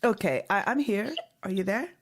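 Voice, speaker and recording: A woman's voice: mid-range voice, lively intonation, normal speed. Woman hosting a call-in radio show. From Jamaica. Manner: hesitant, with many fillers and restarts.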